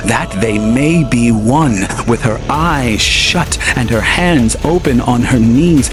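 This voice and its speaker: Low-voiced man narrating an audio drama. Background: faint music.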